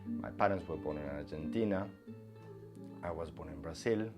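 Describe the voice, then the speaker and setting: A man speaks slowly and with weight. Clear guitar music plays behind him. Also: a bass voice. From Mexico. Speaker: interviewee. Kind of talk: documentary interview.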